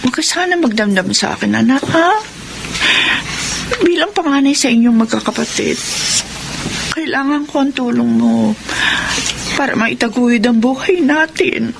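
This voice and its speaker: A woman's voice: a little high, moderate pace, vocal fry, noisy background. A woman with a Filipino accent playing a sorrowful, loving widowed mother in a radio drama. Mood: sorrowful, tender.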